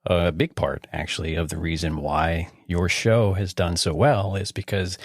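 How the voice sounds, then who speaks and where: Low husky-voiced podcast host. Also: male voice, dry recording, clean studio sound. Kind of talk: casual podcast interview.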